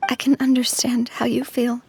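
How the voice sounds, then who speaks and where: A woman voicing a young gang boss who speaks softly and in a measured, heartfelt way. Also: fairly high pitch, completely flat delivery, creaky, slight background noise. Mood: resolute. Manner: soft-spoken, measured, emotional. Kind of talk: game voice acting.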